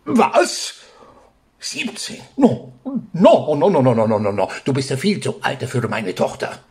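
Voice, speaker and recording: Creaky, theatrical papa. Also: male voice, medium pitch, wildly swooping pitch, unhurried, darkish tone, full character voice. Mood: protective, indignant. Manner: theatrical, fussy, repeats himself. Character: excitable, overprotective Italian father, vain and theatrical. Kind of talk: German audio drama acting.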